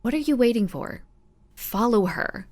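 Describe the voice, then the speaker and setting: A fairly high, very animated young female voice from an actress as a skeptical, outspoken friend in a superhero audio drama. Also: measured pace. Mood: insistent.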